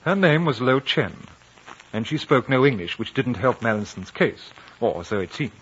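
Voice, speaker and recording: A self-possessed, curious traveler in a vintage radio drama. He is mid-pitched, urbane and articulate, polite but firm. The recording has the dull tone of an old broadcast. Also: very animated, moderate pace, a bit bright, breathy edge. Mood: reflective narration. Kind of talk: 1950 radio drama acting and narration.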